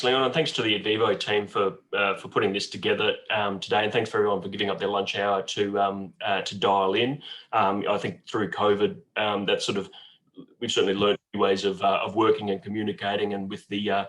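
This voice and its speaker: A man in his forties with a croaky cold voice, speaking haltingly with many fillers as a bank guest on a webinar. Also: lowish voice, fairly bright tone, low-quality recording. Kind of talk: webinar talk answering questions. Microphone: video call.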